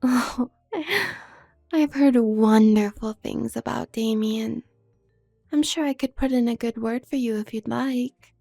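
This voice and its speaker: A woman playing a haughty, manipulative younger werewolf sister from a noble family in an audio drama, speaking with condescending, needling smoothness. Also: a little high, takes it slowly, mellow, soft background music, full character voice. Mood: smug, needling. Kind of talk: scripted audio roleplay drama.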